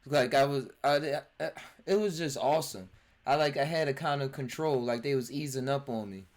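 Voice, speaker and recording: A man in his twenties hosting a podcast, telling his stories excitedly, laughing often and restarting his sentences. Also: medium pitch, normal speed. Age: 20s. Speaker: podcast host. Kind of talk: casual chat. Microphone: remote voice chat.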